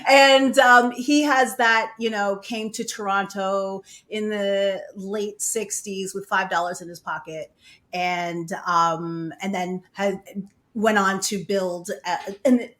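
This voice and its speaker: A woman's voice: mid-range voice, steady tone, slow, a bit bright. A woman co-hosting an interview, asking questions in a hesitant, casual way with many fillers. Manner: hesitant, full of fillers and restarts. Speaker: co-host.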